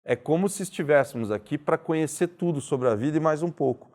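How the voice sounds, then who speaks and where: A man hosting an interview, asking thoughtful, philosophical questions in a calm conversational way. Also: a little high, a little fast.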